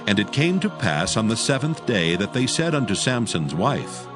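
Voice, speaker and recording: A man narrating scripture dramatically in archaic English, solemn and measured. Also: lowish voice, sing-song, over music.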